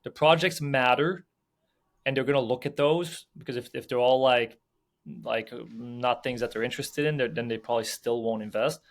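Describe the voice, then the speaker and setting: A man's voice: mid-range voice, fairly even intonation, moderate pace. Chatty Belgian-raised man with many fillers. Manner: loose and conversational, many fillers and restarts. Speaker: film producer and sales agent, guest. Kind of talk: casual podcast interview.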